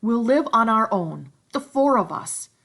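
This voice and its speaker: Lady doing a high shrill nervous kid, pleading fast, gravelly edge. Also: animated, fairly bright tone, heavily acted. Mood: anxious shrill. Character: shrill anxious chubby schoolboy.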